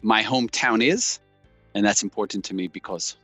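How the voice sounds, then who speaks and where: A man's voice: on the low side, normal speed, fairly bright tone, husky, dry recording, faint music behind. A man hosting a semi-formal interview, introducing his guest and putting questions. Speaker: interviewer, host.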